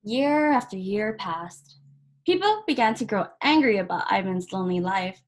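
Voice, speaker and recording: A woman reading a children's picture book aloud as a volunteer story reader, in measured phrases with pauses between them. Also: medium pitch, lively intonation.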